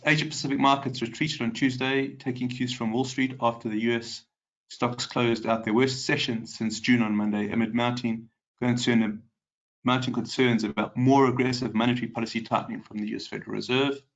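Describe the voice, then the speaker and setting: A man's voice: medium pitch, level tone, brisk, muffled recording. Nasal, congested man hosting a webinar. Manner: chatty, greets viewers by name, frequent ums. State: congested from a cold. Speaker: market specialist hosting a webinar. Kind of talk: live webinar market commentary.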